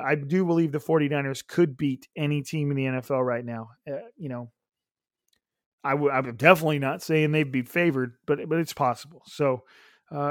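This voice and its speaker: A young man hosting a solo podcast, his voice raspy and hoarse, rambling casually with many fillers. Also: medium pitch, no echo, clean studio sound. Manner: rambling, upbeat, lots of fillers. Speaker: podcast host.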